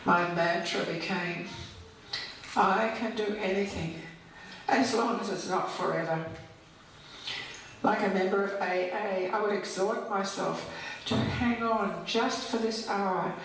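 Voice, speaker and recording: An older woman reading her own poem as a scripted recital, in measured, deliberate phrases with pauses. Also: mid-range voice, fairly bright tone. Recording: distant, very echoey hall with background noise.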